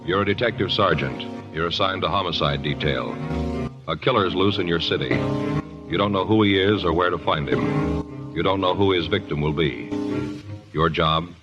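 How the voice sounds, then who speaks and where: A man's voice: deep voice, normal speed, lo-fi sound. A man announcing a radio drama in a solemn, emphatic 1950s style. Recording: 1950s radio recording under loud music.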